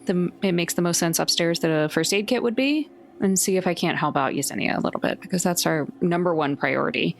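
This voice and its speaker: A woman's voice: fairly low pitch, fairly quick, creaky, a little hiss or hum. A woman with a wry manner, chatting and joking casually as a tabletop game player.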